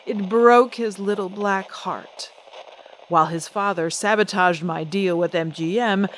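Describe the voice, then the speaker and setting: Actress as a low-voiced, poised starlet. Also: female voice, slight background noise, close to an everyday voice, low-quality recording. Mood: bitter. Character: poised, vengeful female singing celebrity, coolly defiant. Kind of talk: old-time radio drama acting.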